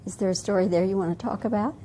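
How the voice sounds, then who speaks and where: A woman's voice: medium pitch, steady tone, racing, dark tone. A woman who works as a television interviewer, asking questions in a friendly, conversational way. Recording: faint background noise.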